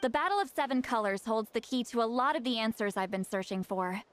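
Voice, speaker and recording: An actress voicing a proud, grumpy young female shaman with a secretly soft heart in an anime video game. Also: a little high, a little fast, a little hiss or hum, natural voice. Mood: haughty, brisk.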